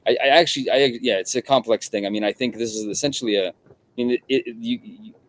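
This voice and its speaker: A middle-aged man from Russia, a guest in an interview, talking in a halting way with many restarts and repeated words. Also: mid-range voice. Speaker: guest journalist. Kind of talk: conversational interview.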